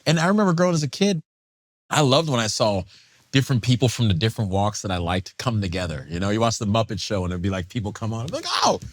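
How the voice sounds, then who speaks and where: A man's voice: fairly low pitch, fast, no echo. A man telling stories animatedly as a guest on a casual panel talk show, acting out quotes. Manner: animated storyteller, acts out voices.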